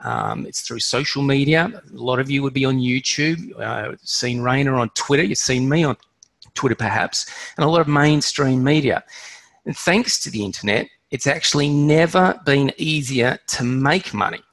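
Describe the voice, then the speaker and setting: A middle-aged man with an Australian accent, giving an online presentation in a relaxed, conversational way with a few fillers. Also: medium pitch, moderate pace, vocal fry, no echo. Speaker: webinar presenter.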